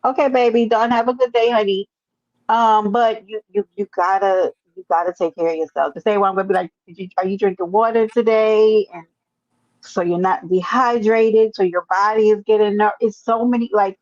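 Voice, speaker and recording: A woman's voice: mid-range voice, steady tone, normal speed, a little mellow, no echo, muffled recording. A woman with a New York accent hosting a casual livestream chat, calling people baby and honey as she talks. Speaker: livestream host.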